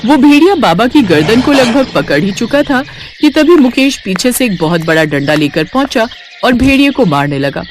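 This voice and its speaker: Expressive woman story narrator. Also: a little high, normal speed, a little hiss or hum. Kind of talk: scripted children's story narration.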